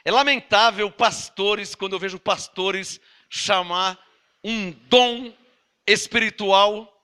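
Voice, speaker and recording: A man's voice: high-pitched, slow, bright, forceful tone, smooth. A Brazilian man with a Brazilian Portuguese accent preaching a sermon, emphatic and scolding, throwing rhetorical questions at his listeners. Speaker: pastor.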